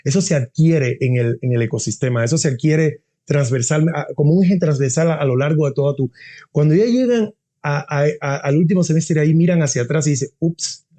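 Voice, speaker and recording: A man's voice: on the high side, fairly quick, warm tone. A middle-aged man, a university dean, talking earnestly in a casual interview and often restarting his phrases.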